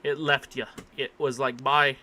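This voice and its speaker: A man's voice: little pitch movement, unhurried, pushed and bright. A man streaming a game with casual, wry reaction commentary, muttering short interjections and swearing freely. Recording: very noisy, constant background rumble.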